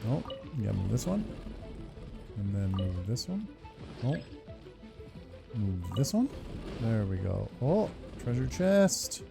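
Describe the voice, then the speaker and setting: A man hosting a gaming video in casual, sweary commentary. His voice is gravelly and swings up and down in pitch as he gets excited. Music plays behind him. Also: slow, pauses often. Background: game music.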